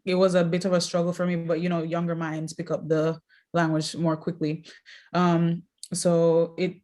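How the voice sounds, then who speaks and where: A woman's voice: fairly low pitch, warm tone. A young woman from Jamaica giving casual, reflective interview answers, hesitantly thinking as she goes. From Jamaica, later Mexico. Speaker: interview guest, social worker.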